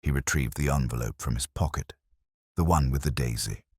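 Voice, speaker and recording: A man's voice: a bass voice, fairly quick, breathy edge, no room sound, clean studio sound. A man reading a mystery story as scripted narration, in the manner of an audiobook narrator.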